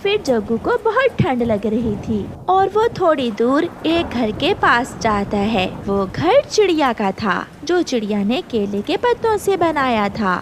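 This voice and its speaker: A woman storyteller with a high voice narrates a scripted children's tale. Her pitch moves in lively swings, and clear noise and ambience sit behind her. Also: measured pace, hardly any pauses.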